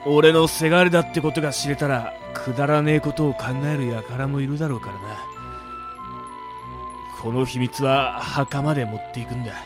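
A man acting a gruff, middle-aged yakuza boss with rough, weary, proud speech in a radio drama. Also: medium pitch, fairly even intonation, moderate pace, lightly acted. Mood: stern, solemn. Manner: rough, slangy, commanding underworld speech. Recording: dramatic music behind the voice.

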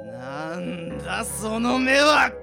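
A woman's voice: lowish voice, big pitch swings, very slow, gravelly, exaggerated voice. A woman playing a cruel, mocking bandit in a radio drama, drawling and taunting. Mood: mocking menace. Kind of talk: Japanese radio drama acting. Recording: music under the voice.

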